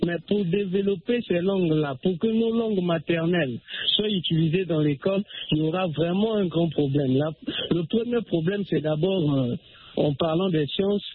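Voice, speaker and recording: A man's voice: a little high, normal speed, a little hiss or hum. A man from the Republic of the Congo calling in to a radio show, speaking hesitantly with many fillers and restarts. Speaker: radio caller. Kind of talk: phone-in call on a radio show. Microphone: telephone. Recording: tinny phone line, dry.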